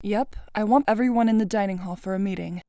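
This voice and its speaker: A woman's voice: mid-range voice, fast, dark tone, dry recording, clean studio sound, close to an everyday voice. A woman playing a composed, polite young organizer in a fan audio drama, speaking in a businesslike way. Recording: music under the voice.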